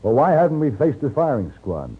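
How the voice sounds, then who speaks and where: A man's voice: mid-range voice, very animated, brisk, breathy edge. An actor playing a shrewd, persuasive American gunfighter in an old radio western, assured and wry as he acts and narrates. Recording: tinny, noisy old radio recording.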